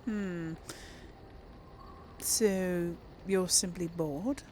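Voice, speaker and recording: A woman's voice: fairly low pitch, steady tone, very slow, a little mellow, a little hiss or hum. A woman hosting a gaming video, giving casual commentary and lightly role-playing dialogue. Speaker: gamer, video host.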